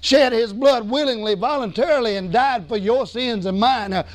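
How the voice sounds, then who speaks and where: A man preaching a sermon as pastor, hoarse from losing his voice, emphatic and calling for responses. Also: very high-pitched, moderate pace. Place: church sanctuary.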